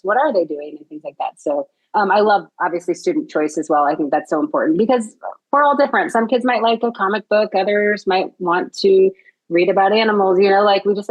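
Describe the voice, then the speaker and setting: A woman's voice: mid-range voice, low-quality recording. A woman hosting a podcast interview, upbeat and enthusiastic, laughing often as she chats. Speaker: podcast host.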